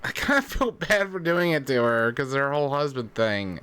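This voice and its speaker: A man's voice: mid-range voice, sing-song, moderate pace, bright, forceful tone, clear, resonant tone. A man who hosts a prank call show, talking in a casual style. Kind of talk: casual talk.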